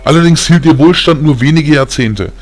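A man's voice: a little high, moderate pace, lightly acted. A man playing a weary, caring old grandfather. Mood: solemn storytelling. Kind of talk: audiobook character acting.

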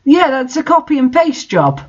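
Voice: high voice, sing-song, normal speed, lo-fi sound. A host reading fan fiction aloud and adding casual, incredulous commentary.

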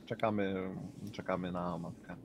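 A man with a fairly low voice, taking part casually in a tabletop game as a player. Some noise is audible behind him. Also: fairly even intonation, unhurried, gravelly. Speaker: role-playing game player. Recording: noticeable background noise.

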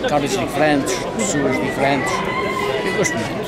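An older man with an Azorean Portuguese accent, chatting in a halting way with many fillers during a street interview at an outdoor market. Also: a little high, wildly swooping pitch. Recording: loud market crowd behind.

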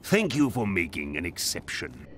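An actor playing a haughty, menacing fallen god in a fighting game, with pompous, contemptuous, measured speech. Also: male voice, deep voice, huge pitch swings, background noise. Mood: mocking courtesy. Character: haughty, menacing fallen Elder God, male demon king. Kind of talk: theatrical fighting-game villain acting.

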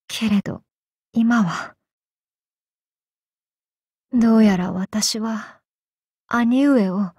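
A woman voicing a tormented, vengeful samurai woman in an anime game, speaking in archaic, solemn, halting phrases. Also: on the high side, a little mellow, breathy edge, no echo, clean studio sound, full character voice. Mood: hesitant, pleading. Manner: archaic, solemn speech with halting pauses, sometimes sinking to whispers. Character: vengeful possessed samurai woman, tormented, torn between two selves. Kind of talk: anime game character voice lines.

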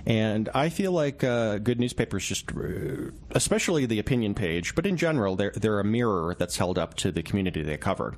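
A man being interviewed on radio as a reporter, speaking casually and reflectively in a measured, halting way. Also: lowish voice, big pitch swings. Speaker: newspaper reporter, interview guest.

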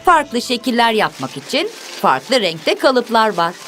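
Female children's cartoon narrator, highish voice, very animated intonation, expressive storytelling over music. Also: a little slow. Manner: expressive, simple instructive phrasing for young children. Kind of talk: scripted children's story narration, addressing characters and viewers.

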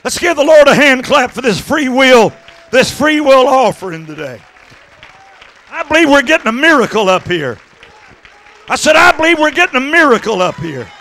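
Middle-aged male preacher, high-pitched and gravelly. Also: very animated, moderate pace, some chatter behind. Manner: exhortative, swinging from conversational asides to shouting. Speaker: evangelist preaching. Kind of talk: impassioned Pentecostal sermon. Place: church sanctuary stage at a pulpit. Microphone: handheld microphone.

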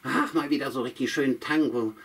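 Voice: medium pitch, moderate pace, breathy edge. A puppeteer voicing a sly old witch who chats to herself and hums tunes in a puppet show. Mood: muttering. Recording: voice from a distance.

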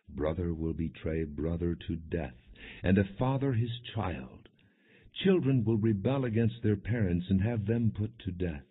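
A man narrating an audiobook of scripture in a solemn, measured, earnest way, with a husky voice. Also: sits low. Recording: muffled, phone-like narrow sound.

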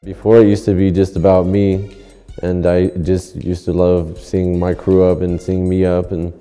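A man's voice: low voice, completely flat delivery, measured pace, mellow, clear, resonant tone. A man, a graffiti artist talking in an interview in laid-back street slang, full of restarts. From Los Angeles. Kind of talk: interview monologue. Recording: long hall reverb, faint music.

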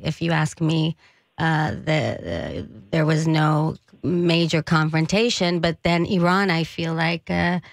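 A woman's voice: low-pitched, dry recording. A woman hosting a talk radio interview in a small studio, speaking conversationally with loose, searching phrasing. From United States. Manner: conversational with many fillers and restarts. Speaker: radio host. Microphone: studio mic with headphones.

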